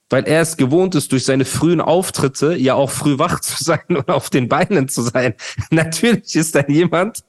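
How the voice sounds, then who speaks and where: A male podcast host ranting and mocking in casual, slangy chat. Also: on the high side.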